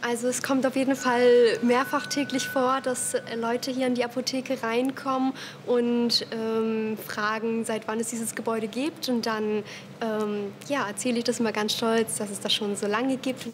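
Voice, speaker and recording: A woman speaks in a high, flat voice with a few hesitations. Shop noise is clearly heard behind her. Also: moderate pace. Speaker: pharmacy staff. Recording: noisy shop.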